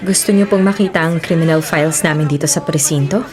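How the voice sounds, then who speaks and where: A woman's voice: low-pitched, fast talker, crowd noise, lightly acted. A young woman acting an earnest, principled policewoman and devoted daughter in a radio drama. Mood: helpful.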